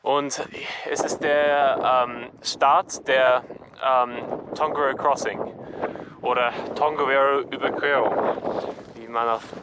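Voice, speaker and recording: A man whose native language is English, talking haltingly with many fillers as he vlogs from a mountain trail. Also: medium pitch, fairly even intonation. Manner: halting non-fluent speech with many fillers. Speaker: hiking vlogger. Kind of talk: casual walking vlog. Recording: outdoor ambient noise.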